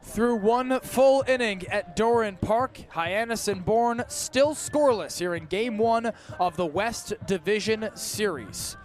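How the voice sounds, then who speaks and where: A male baseball broadcaster with a very high-pitched voice delivers live radio play-by-play. He calls the game in punchy, clipped phrases, stretching words out as plays get bigger. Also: faint music behind. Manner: punchy clipped calls that build to drawn-out shouts on big plays.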